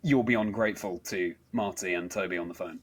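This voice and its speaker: A man's voice: sits low, fairly quick, husky. A man hosting a conversational interview in an earnest, encouraging and effusive manner. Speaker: interview show host.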